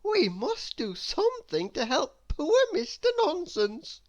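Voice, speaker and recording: A woman doing a high, anxious little lady. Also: wildly swooping pitch, slow, muffled recording, exaggerated voice. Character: small worried girl-like lady, kind and eager. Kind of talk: storybook character voice.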